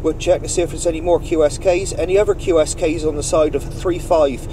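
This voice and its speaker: A man with a slightly high voice works as a CB radio net operator, giving procedural calls and courteous replies. He sounds away from the mic, with loud radio and vehicle noise all around. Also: fairly even intonation, fairly quick. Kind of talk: radio call-outs and casual operator chat. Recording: noisy vehicle CB radio setup, distant mic.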